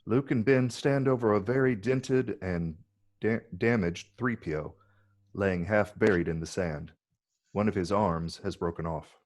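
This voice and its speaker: A man's voice: fairly low pitch, measured pace, darkish tone. A man narrating scripted stage directions in a table read.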